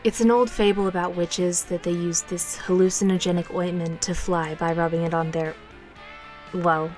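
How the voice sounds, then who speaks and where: An actress playing an experienced witch in an audio drama, reassuring and gently knowing. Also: lowish voice, flat delivery, normal speed, soft background music, close to an everyday voice. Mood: wry. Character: calm, protective, experienced woman witch.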